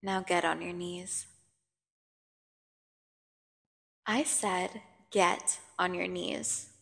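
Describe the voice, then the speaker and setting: A woman playing a menacing, seductive vampire prisoner in an ASMR audio drama, taunting and condescending with theatrical pauses. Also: fairly low pitch, steady tone, gravelly, fairly bright tone, exaggerated voice. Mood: dominant, taunting. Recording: long cavernous reverb.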